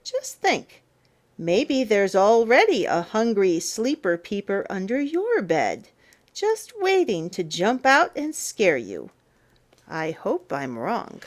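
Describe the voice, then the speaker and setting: A woman reading a children's picture book aloud as a storyteller, in a theatrical, playful, emphatic way with drawn-out words. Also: medium pitch, huge pitch swings. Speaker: storyteller, teacher.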